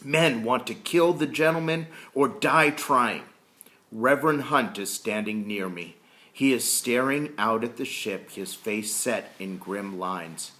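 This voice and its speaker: A man reading a historical novel aloud as a storyteller, deliberate and explanatory. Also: medium pitch, pushed and bright. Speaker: reader reading a book chapter aloud.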